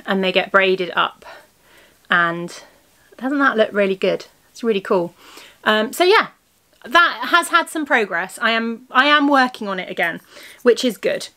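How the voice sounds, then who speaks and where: A woman with a hoarse, worn voice rambles cheerfully through a casual podcast chat. She talks the camera through her knitting projects piece by piece, correcting herself and wandering off on small asides as she goes. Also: mid-range voice, sharp tone. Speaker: crafting podcast host and yarn shop owner.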